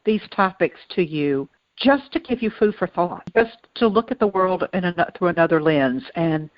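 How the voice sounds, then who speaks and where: A woman co-hosting a conversational podcast, explaining things in a reflective, measured manner. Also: fairly low pitch, animated, no room sound, dull audio. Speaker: podcast co-host.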